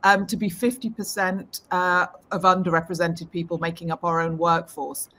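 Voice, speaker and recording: A woman's voice: lowish voice, flat delivery, normal speed, bright, forceful tone. British woman, 50s, fluent upbeat executive guest, video call audio. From United Kingdom. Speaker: interview guest, tech executive. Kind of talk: remote interview answers. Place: home room, indoors.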